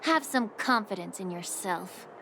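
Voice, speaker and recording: An actress voicing a calm, sly, long-lived woman alchemist official who teases dryly and unhurriedly. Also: medium pitch, a little hiss or hum, poor audio. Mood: teasing. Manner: dry, teasing and unhurried. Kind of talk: game voice acting.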